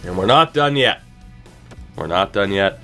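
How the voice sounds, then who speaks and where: A man commentating on a video game livestream, talking to his viewers in an animated way and repeating phrases for emphasis. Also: low voice, a little slow, faint music behind.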